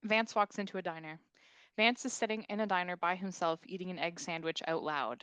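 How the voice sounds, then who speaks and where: A woman's voice: on the low side, fairly quick, a bit bright, clear, resonant tone, no echo, low-quality recording. A woman narrating the stage directions of a scripted comedy table read.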